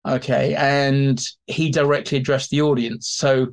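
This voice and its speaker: A man speaking as an expert guest, explaining informally in an animated way and slipping into acted-out examples. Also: mid-range voice, a little slow, vocal fry. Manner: animated, acts out imagined quotes. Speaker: expert guest, theatre historian. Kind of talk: informal explanatory interview talk.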